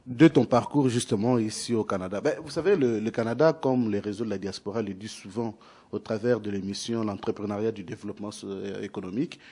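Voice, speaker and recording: A man's voice: fairly low pitch, fast talker, warm tone, breathy edge. A man hosting a TV interview, speaking formally and addressing the audience directly. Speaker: TV interview host.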